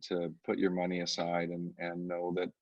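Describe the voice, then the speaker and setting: A man speaking haltingly as a portfolio manager, giving market commentary on a conference call. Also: sits low, monotone, no room sound. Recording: slightly dull conference line.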